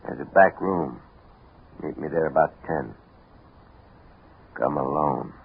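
An American actor playing a cool, worldly racketeer club boss in a 1950s radio crime drama. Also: male voice, extremely low pitch, slow pace, creaky, husky, full character voice. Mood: confidential. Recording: tinny 1950s radio recording.

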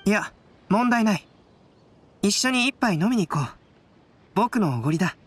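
A woman voicing a calm, thoughtful young male scholar in an anime-style game, composed and analytical. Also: medium pitch, normal speed, heavy background noise, natural voice. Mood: calm, assured. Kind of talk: anime game dialogue acting.

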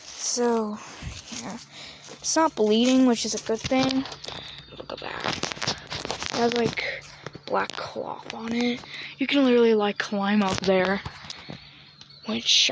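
A young woman vlogging while walking around a farm yard, chatty and often dropping to a whisper. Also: on the high side. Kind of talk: casual walk-around vlog. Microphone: handheld phone camera. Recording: noisy outdoor phone audio.

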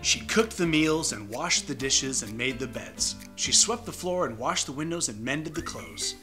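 Man, thirties, animated storybook narration, comic co-host banter, music in the background. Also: mid-range voice, normal speed. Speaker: co-host and story reader, comedian. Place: small room, indoors, seated on a sofa.

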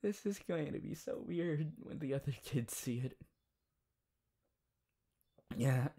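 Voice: mid-range voice, animated, takes it slowly, dark tone, breathy edge, full character voice. A performer playing a playful, anxious young male rabbit hero boyfriend in an ASMR audio roleplay, with a hesitant, giggly manner. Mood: cheerful.

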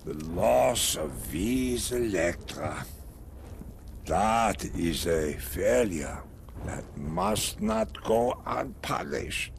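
An actor playing a brutal middle-aged island dictator general in a game, grandiose and menacing, with pompous deliberate delivery. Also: male voice, lowish voice, very animated, creaky, slight background noise, exaggerated voice. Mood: cold menace. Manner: pompous, deliberate, theatrical, formal phrasing. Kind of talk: dramatic video game cutscene acting. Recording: music behind the voice.

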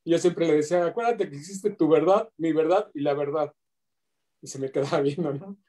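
Man, middle-aged, mellow warm tone, earnest and reflective, video call audio. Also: a little high, a little fast. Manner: earnest, reflective, with quoted asides. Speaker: community organizer paying tribute. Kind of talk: heartfelt spoken tribute on video call.

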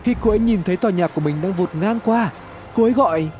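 A man narrating a scripted horror story in a suspenseful, expressive, dramatic way. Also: high voice, quick pace. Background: background music.

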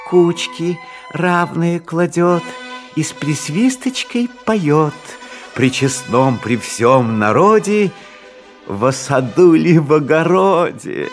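Slow, animated male verse storyteller. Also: on the high side. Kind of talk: dramatic recitation of fairy-tale verse.

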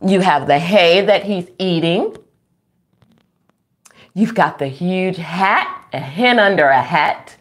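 Very animated, slow-paced woman reading to children. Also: lowish voice. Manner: animated, exclaiming, sing-song emphasis; addresses young listeners with questions. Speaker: children's storytime host. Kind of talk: read-aloud to young children. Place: small room at home, indoors.

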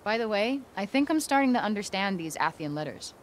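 A woman's voice: medium pitch, sing-song, a little fast, a little hiss or hum, lightly acted. A woman playing a young, tough, sarcastic American game heroine with a conscience, blunt and slangy in delivery. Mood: wry, upbeat. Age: young adult. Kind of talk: naturalistic video game voice acting.

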